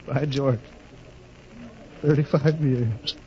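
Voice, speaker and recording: An actor playing a stern, middle-aged spaceship captain in a 1950s radio drama, disciplined yet emotional. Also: male voice, medium pitch, drawn-out words, loud music behind the voice. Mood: happy. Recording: dull vintage radio recording, background crowd.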